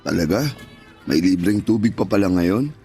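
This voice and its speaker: A low-pitched, weary older peddler in a radio drama. He speaks plainly and modestly, with faint music behind him. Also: moderate pace, mellow. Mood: weary, grateful. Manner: plain-spoken, modest, grateful. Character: humble, weary older male street peddler. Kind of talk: Tagalog radio drama acting.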